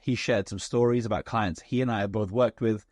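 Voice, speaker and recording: A man hosting an interview, his voice hoarse and raspy, asking structured, articulate questions. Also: on the low side, fairly even intonation, a little fast, a little mellow, dry recording, studio quality. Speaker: podcast host. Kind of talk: interview, conversational.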